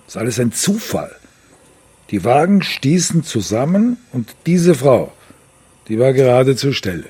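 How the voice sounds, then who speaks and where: Desperate father hunting for his lost child, radio drama: intense, mid-pitched, widely varied intonation. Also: big pitch swings, unhurried, dark tone, husky, full character voice. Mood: shaken. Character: desperate, stubborn father searching for his abducted daughter. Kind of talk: German radio crime drama acting.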